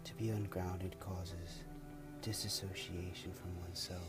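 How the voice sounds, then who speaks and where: Male meditation guide, low and level delivery, soothing, unhurried, spacious pauses. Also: flat delivery. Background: music.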